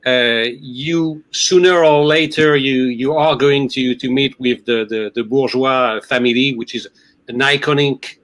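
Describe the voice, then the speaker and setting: Slow French-accented man on a video call. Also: mid-range voice, fairly even intonation, fairly bright tone. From France. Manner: slow, hesitant, many filler sounds. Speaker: winery's US representative. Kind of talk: virtual wine tasting chat.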